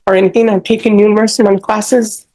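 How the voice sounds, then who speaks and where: A woman's voice: medium pitch, flat delivery, quick pace, a little mellow, low-quality recording. A middle-aged woman testifying as a defendant in a courtroom, apologetic and pleading.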